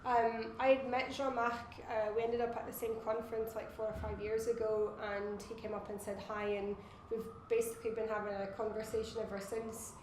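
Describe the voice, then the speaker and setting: A woman's voice: fairly high pitch, flat delivery, a little fast, hardly any pauses, roomy sound, noisy background. A Scottish woman in her thirties, a watch designer answering interview questions in long, thoughtful explanations. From Scotland.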